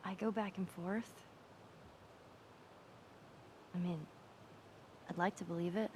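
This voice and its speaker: A woman's voice: steady tone, normal speed, natural voice. A woman playing a guarded but caring teenage girl survivor in a naturalistic video game scene. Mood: earnest, reassuring. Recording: quiet game audio under commentary, faint rumble.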